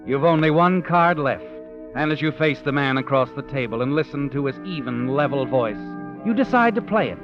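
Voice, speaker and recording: An actor playing a sinister, all-knowing narrator with an American accent, needling someone in 1940s radio suspense style. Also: male voice, a little high, animated, normal speed, lightly acted. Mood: taunting, ominous. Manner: insinuating, needling, dramatic. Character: the Whistler, sinister all-knowing male narrator addressing a guilty woman. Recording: dull vintage 1940s radio recording. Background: dramatic music.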